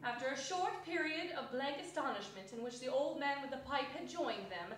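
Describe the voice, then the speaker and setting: A woman's voice: sits high, animated, normal speed, fairly bright tone. A woman narrating a story as a theatrical dramatic reading. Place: indoor arts center hall, live performance. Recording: distant echoey hall, faint noise.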